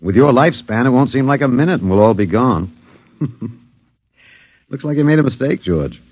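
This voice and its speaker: Classic radio play, dull lo-fi sound: American male professor, mid-pitched, very animated inflection, hesitant, sardonic, resigned. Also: moderate pace, dry recording. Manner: hesitant, wry, dryly humorous. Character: wry, resigned male anthropology professor, last man on Earth. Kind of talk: old radio drama acting. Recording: dull, dry vintage radio recording.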